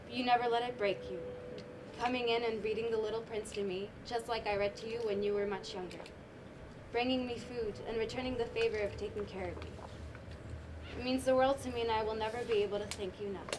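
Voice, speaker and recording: A woman's voice: on the high side, fairly even intonation, fast, natural voice. Young woman as tender dying mother, noisy recording. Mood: tender, sorrowful. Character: loving, dying mother writing farewell letter. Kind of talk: amateur stage monologue, letter read aloud. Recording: noisy live stage recording.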